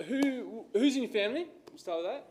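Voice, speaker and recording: A man's voice: extremely high pitch, lively intonation, brisk, a little mellow, a little hiss or hum. A man hosting a church evening, talking casually with many hesitant fillers. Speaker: event host. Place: church hall.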